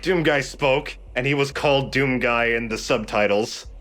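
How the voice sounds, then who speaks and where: A man's voice: medium pitch, normal speed, a bit bright. A man giving casual, jokey commentary as the host of a video game playthrough. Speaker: let's play host and commentator.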